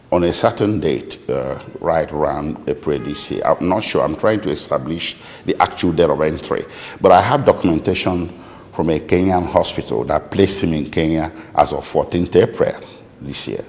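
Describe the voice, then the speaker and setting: Very deep-voiced Nigerian man, echoey room. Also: a little mellow. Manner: deliberate, halting, precise about details. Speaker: lawyer, interviewee. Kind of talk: interview answers recounting a client's account. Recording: echoey room with long reverb.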